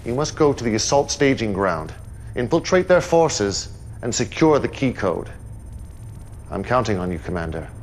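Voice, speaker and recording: An actor playing a commanding, calmly menacing cult leader in a video game cutscene, speaking with solemn, grandiose deliberation. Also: male voice, medium pitch, normal speed, slight background noise, natural voice. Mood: grave, urgent.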